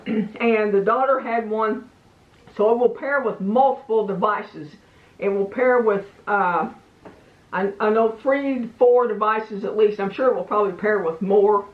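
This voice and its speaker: A woman's voice: medium pitch, a little slow. A woman giving a casual hands-on product review in a plain-spoken, folksy, meandering way. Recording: echoey room, slightly muffled sound.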